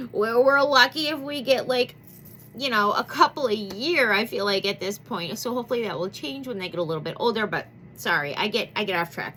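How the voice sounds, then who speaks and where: A woman's voice: medium pitch, sharp tone, slight background noise. A woman in her thirties chatting as a beauty vlogger, bubbly and rambling, with a congested, nasal voice. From Michigan. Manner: bubbly, gushing, rambling with many fillers. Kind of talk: chatty makeup vlog intro.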